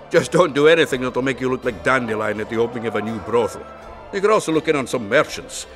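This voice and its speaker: A man's voice: fairly low pitch, natural voice. An actor playing a bawdy, good-humoured fantasy townsman in a video game, with an earthy, wry, chatty manner. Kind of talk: video game character acting.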